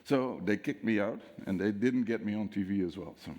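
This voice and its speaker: A man's voice: lowish voice, a little fast, a little hiss or hum. A man from the Netherlands with a Dutch accent, giving a conference talk on security, acting out dialogue and quizzing the audience. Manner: lively storyteller, acts out dialogue, asks audience questions. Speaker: security speaker. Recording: long hall reverb.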